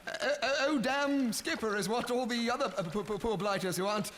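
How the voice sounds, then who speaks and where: An actor playing a nervous, fawning first officer who stammers and flusters in a live radio comedy. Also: male voice, very high-pitched, fairly quick, a bit bright, slight background noise. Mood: flustered.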